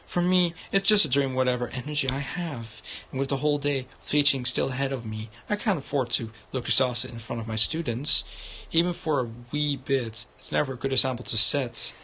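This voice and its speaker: A man's voice: medium pitch, a little fast, few pauses, husky, low-quality recording. A man livestreaming a visual novel, reading it aloud and commenting, often stumbling over the words. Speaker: game livestreamer. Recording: loud background noise.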